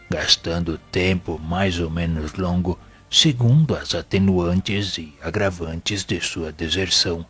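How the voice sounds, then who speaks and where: A man with a Brazilian accent playing a venerable, wise and patient spirit mentor in an audio novel, speaking in a formal, didactic, measured way. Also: sits low, a bit bright, slightly rough, heavily acted. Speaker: audio drama actor. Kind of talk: solemn scripted spiritist teaching in an audio novel.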